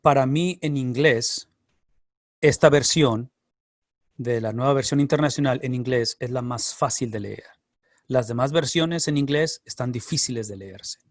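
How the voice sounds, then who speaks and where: A man's voice: mid-range voice, a little slow, no echo. Male pastor, close dry sound. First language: Spanish. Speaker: pastor and Bible teacher. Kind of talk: answering questions in a Bible class.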